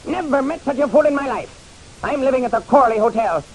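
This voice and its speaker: High, rapid-fire nervous little man. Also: lively intonation. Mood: indignant. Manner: clipped, impatient, demanding. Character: small, nervous, pushy man greedy for an inheritance. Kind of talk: old-time radio detective drama acting. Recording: hissy old radio recording.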